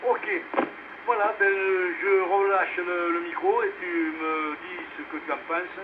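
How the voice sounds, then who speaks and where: A man with a slightly high-pitched voice hosts an amateur radio net in a casual, hesitant way. His halting speech comes through a thin, narrowband two-way radio signal with clear noise over it. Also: level tone. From France. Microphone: two-way radio microphone. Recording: noisy narrowband amateur radio relay transmission.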